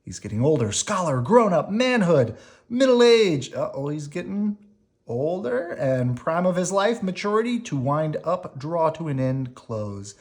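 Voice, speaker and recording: Children's read-aloud host, expressive storybook delivery, unhurried pace, swooping pitch, dramatic emphasis, explanatory asides. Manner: dramatic emphasis, pausing between phrases.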